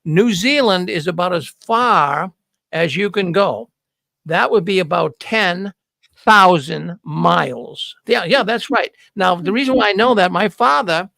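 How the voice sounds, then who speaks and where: A man's voice: sits high, gravelly, pushed and bright, no echo. An older man with a Boston accent hosting a talk show in a chatty, folksy way, speaking into a studio mic. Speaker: host. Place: studio with neon signs. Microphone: broadcast mic on boom arm.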